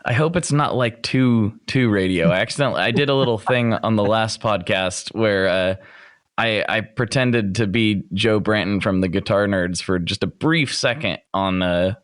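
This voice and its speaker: A man's voice: lowish voice, normal speed, smooth, no room sound, clean studio sound. A man hosting a podcast, chatting in a friendly way with a radio announcer's voice. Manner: chatty, friendly, thinks aloud. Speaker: podcast host. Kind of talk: casual interview.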